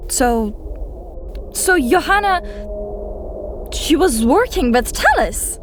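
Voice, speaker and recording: A woman playing an earnest, spirited young adventurer in a fantasy audio drama, direct and expressive. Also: high voice, drawn-out words, noisy background, heavily acted. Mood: dismayed.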